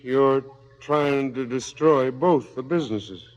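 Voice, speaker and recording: A man's voice: mid-range voice, slow, natural voice. An actor playing a weary former professor turned corrupt businessman in a radio drama, terse, dry and defensive. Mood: bitter. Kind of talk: 1970s radio drama acting. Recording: slightly dull 1970s radio recording.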